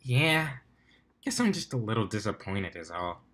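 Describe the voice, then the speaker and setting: A man's voice: lowish voice, big pitch swings, measured pace, fairly bright tone. A man voicing a jaded female pony athlete with a dry, casual manner in a story reading. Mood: resigned, wry. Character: droll, jaded female pony team captain.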